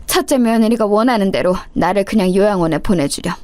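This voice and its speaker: A woman voicing a long-suffering old mother who speaks gravely and sorrowfully. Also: medium pitch, fairly quick, a little mellow, no echo, clean studio sound, natural voice.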